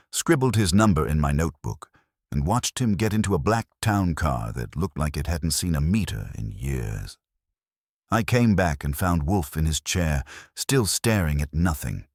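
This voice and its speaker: Very deep-voiced male detective-story narrator. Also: no room sound, clean studio sound. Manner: wry hardboiled storytelling. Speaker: audiobook narrator. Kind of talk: scripted first-person detective story narration.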